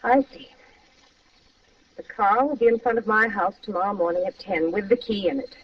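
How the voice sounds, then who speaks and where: A woman's voice: medium pitch, moderate pace, close to an everyday voice. An actress playing a poised, sceptical married society woman in an old-time radio drama. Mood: defiant. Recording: muffled, distant, faintly noisy old radio recording.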